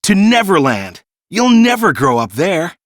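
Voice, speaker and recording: A man puts on a magical young boy from a children's storybook. The voice is high and swooping, full of eager, carefree boasting. Every line is exclaimed with bounce. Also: sing-song, unhurried, a bit bright, dry recording, clean studio sound, heavily acted. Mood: eager urging.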